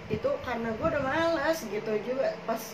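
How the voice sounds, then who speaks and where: A hoarse young woman talks casually and frankly, wandering through her story with plenty of fillers. She sits some distance from the mic, so her voice comes back off the walls of an echoey room. A steady noise runs underneath her words. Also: sits high, a little fast. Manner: rambling, full of fillers, chatty and frank. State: hoarse, coughing. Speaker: podcast co-host telling her own experiences. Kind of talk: casual chat in Jakarta slang.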